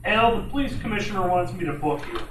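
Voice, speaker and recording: A man's voice: high-pitched, lively intonation, fast talker. A man playing a firm, exasperated police lieutenant in an old-time radio detective drama. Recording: roomy, distant mic, noisy amateur recording.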